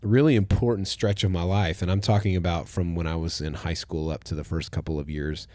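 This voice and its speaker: A man with a deep, low voice, hosting a relaxed church podcast as its pastor. He talks in an easygoing, reflective way and lets his sentences fade off quietly. The sound is close and dry, with no room around it. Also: a little fast, no echo. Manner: relaxed, thoughtful, trails off softly at the ends of phrases. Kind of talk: casual conversational podcast.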